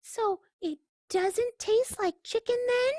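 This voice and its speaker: A woman's voice: extremely high pitch, takes it slowly, a little mellow, dry recording, studio quality, voice pushed up. A woman voicing a small, polite young duckling from a storybook, sweet and innocent, with lots of questions.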